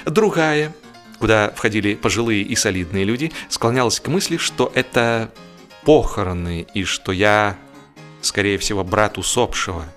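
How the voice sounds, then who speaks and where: Low-pitched man narrating a humorous story. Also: moderate pace, a bit bright, faint music behind. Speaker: audiobook narrator. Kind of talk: humorous scripted literary narration.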